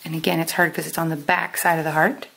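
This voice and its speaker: A woman teaching a step-by-step lesson in a deliberate, instructional way, pausing and stressing key terms. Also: sits low, flat delivery, vocal fry, pushed and bright. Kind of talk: step-by-step lesson with pauses for note-taking.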